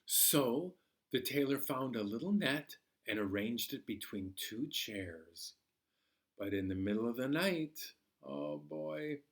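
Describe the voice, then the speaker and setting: A man telling a children's story as a library storyteller, dramatically, with pauses and drawn-out words. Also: medium pitch, a bit bright.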